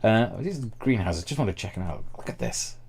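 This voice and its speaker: A man streaming a video game, chatting enthusiastically and thinking aloud, his voice a little hoarse. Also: lowish voice, very animated, brisk, a little mellow, a little hiss or hum. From Britain. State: slightly hoarse, losing his voice. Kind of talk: casual gameplay commentary.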